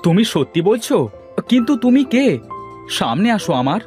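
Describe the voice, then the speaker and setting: A man voicing a poor, earnest village father in a cartoon fairy tale, exclaiming and expressive. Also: high-pitched, moderate pace, faint music behind, pitched above the performer's own voice. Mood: amazed.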